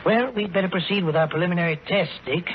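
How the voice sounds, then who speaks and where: A learned space expedition professor acted by a man, with a fairly high male voice and precise, scholarly delivery. It sounds like a muffled 1940s radio play with clear background noise. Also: fast, close to an everyday voice. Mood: brisk, optimistic. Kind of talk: 1940s radio drama acting. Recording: muffled, noisy old 1940s radio recording.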